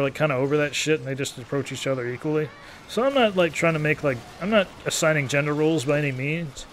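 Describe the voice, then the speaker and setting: A man's voice: fairly high pitch, fairly bright tone, slight background noise. A man in his thirties giving casual, rambling gameplay commentary as a YouTuber, in an easygoing, take-it-or-leave-it manner. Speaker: gaming YouTuber.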